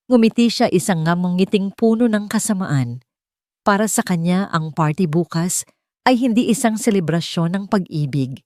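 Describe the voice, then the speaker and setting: A woman's voice: deep voice, warm tone, dry recording, studio quality. A woman with a Filipino accent reading a dramatic story as a scripted narration. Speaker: audiobook narrator.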